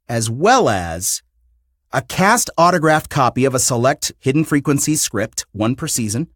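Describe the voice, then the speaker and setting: A man hosting a podcast, reading a scripted announcement in a polished, deliberate presenter's manner. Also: on the high side, dry recording, clean studio sound. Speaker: podcast host and show creator.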